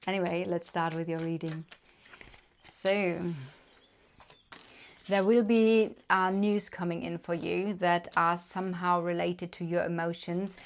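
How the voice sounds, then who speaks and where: A woman from Germany with a German accent, giving a casual tarot card reading with gentle, unhurried talk and many fillers. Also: lowish voice, little pitch movement. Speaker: tarot reader.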